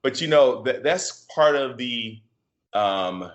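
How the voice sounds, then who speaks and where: A middle-aged man from Chicago with a deep voice, a podcast guest talking in a reflective, halting way with many fillers and restarts. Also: lively intonation, muffled recording. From Chicago, USA. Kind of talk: casual interview conversation.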